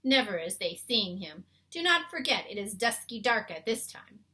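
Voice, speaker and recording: A woman's voice: mid-range voice, very animated, moderate pace, sharp tone. A woman voicing a big, friendly male giant in a children's storybook, earnest and emphatic, with muddled homespun grammar. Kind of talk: children's storybook read-aloud.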